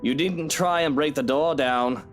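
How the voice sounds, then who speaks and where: Woman putting on a deep-voiced sleazy, smug man. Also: unhurried, soft background music. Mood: smug, leering. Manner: leering, taunting, condescending. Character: smug, sleazy, lecherous married man, threatening.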